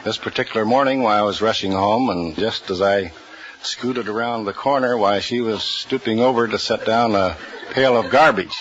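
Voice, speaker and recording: A man in his fifties, a quiz show contestant, telling a story in a halting, plain way with many pauses. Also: on the low side, a bit bright, dull audio. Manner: hesitant, plain-spoken, many uhs. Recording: old 1940s radio broadcast with studio audience.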